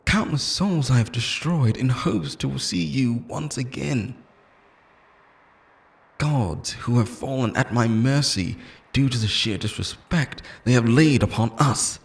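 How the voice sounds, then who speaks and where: A man's voice: medium pitch, a little slow, creaky. A man playing an obsessive, lonely immortal lover in an intimate, theatrical roleplay monologue, pleading and possessive, moving between tenderness and menace. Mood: bitter, anguished.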